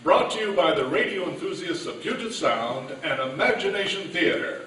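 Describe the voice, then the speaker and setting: A man's voice: sits high, lively intonation, moderate pace. A man announcing and narrating an old-time radio show from a script, live on stage. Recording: echoey hall, distant, loud music behind.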